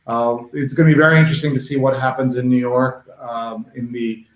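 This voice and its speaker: A man hosting an unscripted live talk show, speaking haltingly and often repeating phrases. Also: medium pitch, little pitch movement, echoey room, rough recording.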